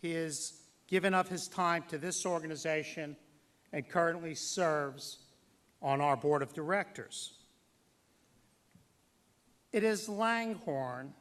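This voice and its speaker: A man addresses a formal banquet as its master of ceremonies. His voice sits a little high for a man, and he takes his time. He delivers tributes deliberately, stressing key words and pausing for effect. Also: fairly bright tone. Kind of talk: formal tribute speech to an audience.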